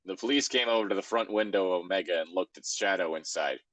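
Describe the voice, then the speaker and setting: Male co-host, low-pitched and dryly recorded, wry and exasperated, casual read-and-react delivery. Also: fast, fairly bright tone, no echo, low-quality recording. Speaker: co-host reading fan fiction aloud.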